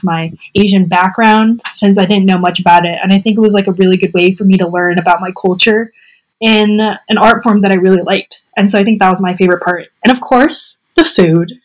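A woman's voice: mid-range voice, low-quality recording. A teenage girl from the United States, a podcast guest who talks hesitantly with many fillers. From USA. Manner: hesitant, many ums and likes. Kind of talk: casual podcast chat.

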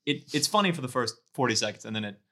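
A man with an average-pitched voice chats casually on a comedy podcast. He rambles as he retells things, with animated delivery. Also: rapid-fire, crowd noise. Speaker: podcast table-read participant.

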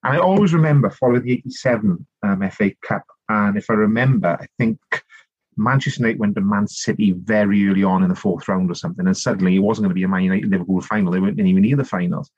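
A middle-aged man from South Wales with a Welsh accent, telling long animated stories as a podcast guest, full of restarts and asides. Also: on the low side, fast talker, slightly rough, no room sound, highs cut off. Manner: animated storytelling, rambling with many restarts and "you know". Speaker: guest, author and politician. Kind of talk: conversational podcast interview.

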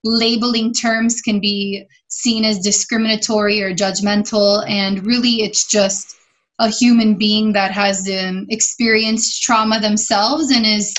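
A woman in her forties from Miami, a podcast guest who tells her story in a chatty, animated way. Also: mid-range voice, unhurried, slight background noise, low-quality recording. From Miami, Florida. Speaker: podcast guest, wellness platform founder. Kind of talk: casual interview.

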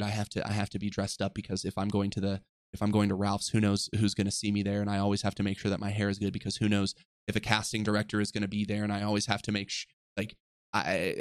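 Earnest low-voiced man musing aloud. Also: clean tone, no room sound, studio quality. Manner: earnest, rambling, self-correcting. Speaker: podcast host. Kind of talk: reflective solo podcast monologue. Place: lives in the western United States.

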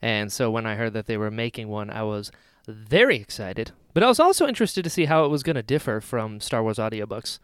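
A man's voice: lowish voice, expressive, a little fast, few pauses, clean tone, no room sound, clean studio sound. A man co-hosting a podcast in casual banter, joking and emphatic, often repeating his phrases. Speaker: podcast co-host.

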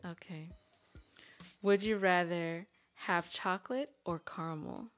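Casting: American female podcast host, lower register, playful and teasing, asking questions in casual banter. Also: takes it slowly, faint music behind. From California, United States.

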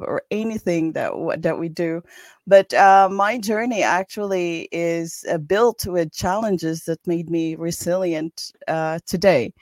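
Podcast guest: woman from the Philippines, low-pitched, earnest and reflective, close dry mic. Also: darkish tone, dry recording. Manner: earnest, reflective, laughs lightly between thoughts. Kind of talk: interview answers.

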